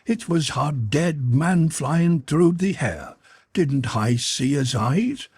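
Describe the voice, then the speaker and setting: Give the adventure audiobook a frightened sailor as acted by a male narrator. He is superstitious and pleading, wailing his words in a raised, husky voice with very little room around it. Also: a little high, lively intonation, slow pace, darkish tone, no echo, studio quality, voice pushed up, heavily acted. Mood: frantic insistence. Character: terrified superstitious sailor, moaning and insisting. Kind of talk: audiobook character voice.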